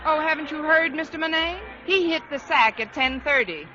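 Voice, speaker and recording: An actress playing a sardonic, wry English teacher with dry theatrical delivery in a 1940s radio sitcom. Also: female voice, sits high, normal speed, loud music behind the voice, close to an everyday voice. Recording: muffled, noisy old radio recording.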